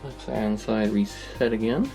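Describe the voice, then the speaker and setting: A man's voice: fairly low pitch, unhurried, vocal fry, warm tone, soft background music, low-quality recording. A man narrating a hobby workshop project informally, thinking aloud in a hesitant, rambling, musing way. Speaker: host of a hobby electronics restoration video.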